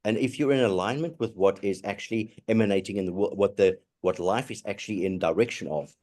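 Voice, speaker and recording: A man in a casual group discussion, talking haltingly with many restarts and murmurs of agreement. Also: fairly low pitch, darkish tone. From South Africa.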